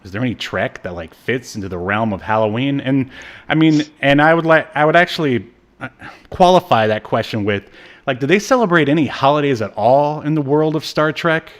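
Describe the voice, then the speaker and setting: A man's voice: mid-range voice, brisk. Male podcast host, mid-range voice, casual chat, jokey and self-deprecating. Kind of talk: casual chat about obscure media.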